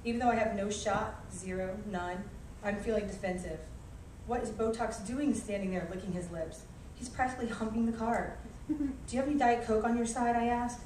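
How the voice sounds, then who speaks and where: A woman's voice: medium pitch, fairly even intonation, moderate pace, a little mellow. A woman reading a humorous personal essay aloud with a wry, self-deprecating manner. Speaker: author reading aloud, flight attendant. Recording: echoey room, distant mic, faint noise.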